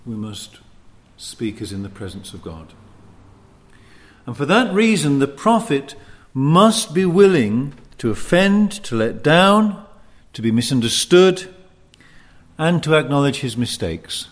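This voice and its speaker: A man preaching in a teaching sermon, speaking in deliberate, emphatic short phrases with pauses between them. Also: medium pitch, big pitch swings. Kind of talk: sermon teaching with scripture and anecdotes.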